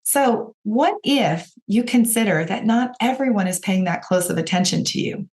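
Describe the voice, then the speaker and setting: A woman with a raspy voice, hoarse from a cold, hosting a podcast and giving direct, encouraging coaching talk to her listeners. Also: mid-range voice, expressive, moderate pace, a little mellow. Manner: encouraging, direct, addresses listeners as you guys. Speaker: podcast host and business coach.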